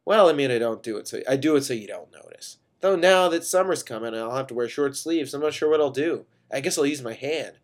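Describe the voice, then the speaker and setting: A tactless young boyfriend from a short story , voiced by a male reader. He is needling and defensive, rambling on with blunt sarcasm in an average-pitched voice. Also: animated, brisk, few pauses, lightly acted. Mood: blunt, sardonic.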